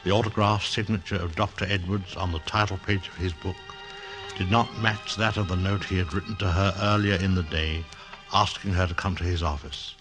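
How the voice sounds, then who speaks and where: An actor as the formal narrator of a suspense tale, delivering scripted 1940s radio drama narration. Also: male voice, low-pitched, steady tone, brisk, music in the background, lightly acted, poor audio. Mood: grave, deliberate.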